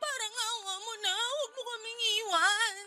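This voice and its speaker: A woman's voice: extremely high pitch, moderate pace, bright, forceful tone, soft background music, heavily acted. A woman playing a desperate, furious mother abandoned by her partner in an emotional, tearful radio drama. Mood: tearful pleading. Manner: pleading, emotional outbursts. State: crying. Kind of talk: Tagalog radio drama acting.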